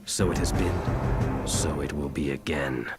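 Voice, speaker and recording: Deep fierce space zealot, theatrical solemn cult talk, loud game music. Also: male voice, fairly even intonation, measured pace. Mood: solemn, fervent. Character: fierce, fervent male zealot of a sun-worshipping order. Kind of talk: dramatic game cutscene acting.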